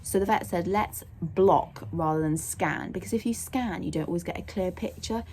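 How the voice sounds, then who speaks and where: A young woman with a croaky voice, chatting casually in a rambling way as she vlogs about her horse. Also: fairly low pitch, moderate pace, darkish tone, a little hiss or hum. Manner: chatty and rambling, laughs often. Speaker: vlogger, horse owner. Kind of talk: casual vlog chat. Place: outdoors at a stable yard, then in a car.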